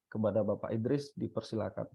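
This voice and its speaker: Pria pembawa acara webinar, suara agak rendah. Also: male voice, fairly low pitch, fairly quick, creaky, dark tone, breathy edge, dry recording. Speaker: webinar host. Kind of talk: semi-formal webinar introduction. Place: online webinar call. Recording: dull, lo-fi online call audio.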